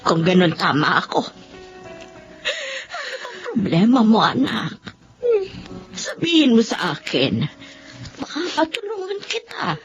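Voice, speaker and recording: A woman with a Filipino accent playing a concerned older mother, coaxing and expressive, in a radio drama. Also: a little high, slow, long pauses, exaggerated voice. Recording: dull radio-drama sound with faint music.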